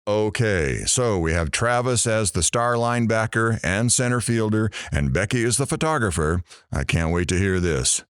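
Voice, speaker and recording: A man playing a sarcastic lively radio DJ. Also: lowish voice, moderate pace, clean tone, no echo, studio quality, natural voice. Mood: snarky. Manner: sarcastic, joking. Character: wisecracking male radio DJ. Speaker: radio talk show host. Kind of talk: comic radio drama.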